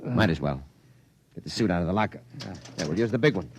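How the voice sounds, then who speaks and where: An actor playing a capable male rocket pilot in a 1950s radio drama, clipped and commanding yet gruffly caring. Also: sits low, lively intonation, fast. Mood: steady, concerned. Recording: faintly noisy old radio recording.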